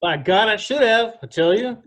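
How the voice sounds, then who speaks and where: A man plays a stern country father with a short temper in a stage drama. The delivery is animated, rising and falling widely. It is an amateur read on a video call. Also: high voice, moderate pace. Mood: angry, scolding. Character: Tom, stern hot-tempered middle-aged country father. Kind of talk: amateur play table read.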